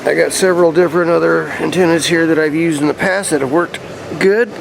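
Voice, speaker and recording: A laid-back man talks to the camera in a rambling, conversational way, explaining as he goes. His voice sits a little high for a man and has a lot of gravelly fry. He is recorded outdoors, with clear ambient and vehicle noise behind him. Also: steady tone, brisk. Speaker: vlogger showing a DIY radio antenna install. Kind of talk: casual rambling vlog talk to camera. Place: outdoors beside his truck.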